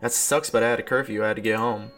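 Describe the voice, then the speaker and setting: A man's voice: on the low side, steady tone, fast talker, a bit bright, natural voice. A man voicing a blunt, dismissive, sly teenage schoolboy in a visual novel, curt and casual. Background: background game music.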